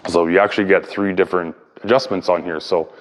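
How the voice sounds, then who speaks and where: A man's voice: low voice, steady tone, quick pace. A man giving a casual hands-on explainer as a hobbyist host, informally thinking aloud in an echoey room. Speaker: hobbyist video host and speaker restorer. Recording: echoey room with long reverb.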